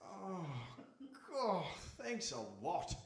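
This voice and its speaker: A put-upon fisherman husband, acted by a man in a radio play, plaintive and hesitant. His pitch is very high for a man and swings widely, with slow delivery in an echoey hall, far from the mic. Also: huge pitch swings, exaggerated voice. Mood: fretful. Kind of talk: live radio play acting. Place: stage before a live audience in a hall. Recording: echoey hall, distant microphone.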